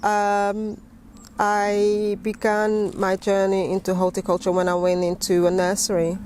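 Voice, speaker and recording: A middle-aged woman with a London accent tells her story as an interview guest, halting and repeating words as she goes. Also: mid-range voice, completely flat delivery, darkish tone, slight background noise. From West London, England. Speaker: interview guest, gardening teacher.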